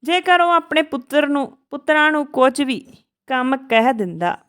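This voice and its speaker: A woman storyteller narrates a scripted tale. She reads it out in measured phrases, occasionally tripping over a word. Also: high voice, expressive, a bit bright.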